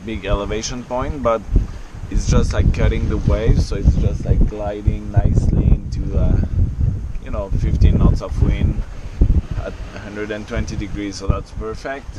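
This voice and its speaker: A man in his thirties from France with a French accent, casually narrating a solo sailing trip, relaxed and chatty with many fillers. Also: lowish voice, normal speed, highs cut off. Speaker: solo sailor vlogger. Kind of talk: casual video diary. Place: small sailboat cockpit at sea, outdoors. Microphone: action camera held at arm's length. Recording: wind and sea noise on action camera. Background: wind and water noise.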